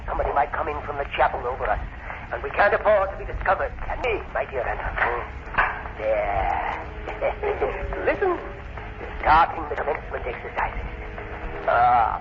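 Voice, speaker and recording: An actor playing a vengeful, gloating mad professor with a sneering, theatrical manner in an old radio drama. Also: male voice, on the high side. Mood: sly, gloating. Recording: muffled, noisy old radio broadcast recording.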